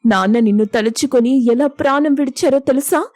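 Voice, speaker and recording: Woman acting emotional young elder sister, higher-pitched. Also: moderate pace, darkish tone, no echo, clean studio sound, lightly acted. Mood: anguished anger. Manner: earnest, emotional. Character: self-sacrificing young elder sister, hurt, proud and protective. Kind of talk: acted dialogue in an audio story.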